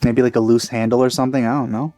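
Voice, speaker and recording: A man does casual let's play commentary with an everyday, medium-pitched voice. His delivery is offhand and joking, and game music runs behind him. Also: level tone, fast talker, mellow.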